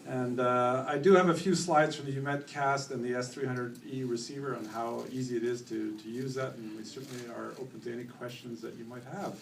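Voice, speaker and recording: A middle-aged Canadian man giving a company presentation at a conference, relaxed and full of fillers. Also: medium pitch, a little fast, creaky, hardly any pauses. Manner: relaxed, many fillers, self-corrects. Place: conference hall. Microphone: room mic, later handheld. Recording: echoey hall from far off, faint noise.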